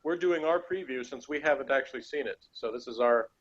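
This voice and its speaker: A man's voice: medium pitch, brisk, dull audio, a crowd in the background. A man chatting casually as co-host of a TV episode review.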